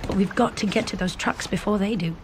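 A woman's voice: medium pitch, a little fast, lightly acted. An actress playing a tense, determined female field agent, speaking tersely in a video game. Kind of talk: scripted game cutscene acting. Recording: noisy battle scene with gunfire.

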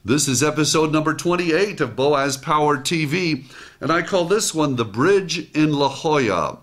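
Lively older man hosting a motivational talk. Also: a little high, unhurried. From Israel. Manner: lively storytelling, acts out quoted lines. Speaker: host and motivational speaker. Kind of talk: direct-to-camera motivational talk. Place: small home studio set, indoors.

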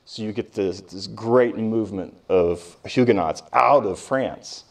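An American man with a fairly low voice lectures like a history professor talking to his class. His delivery is informal and conversational, and he keeps tossing questions out to his listeners as he explains. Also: a little slow, vocal fry.

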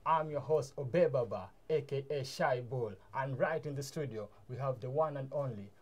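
Young guy host hyping up his guest, loose casual wording, small studio, mid pitch. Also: moderate pace, darkish tone. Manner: enthusiastic hype introductions, loose informal phrasing. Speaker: show host. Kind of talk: casual interview hosting. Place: small studio room with mic stands.

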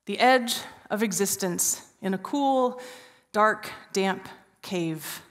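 A woman in her forties preaching an Easter sermon from a church stage, telling a story with hushed, drawn-out pauses. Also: medium pitch. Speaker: pastor. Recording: reverberant church hall.

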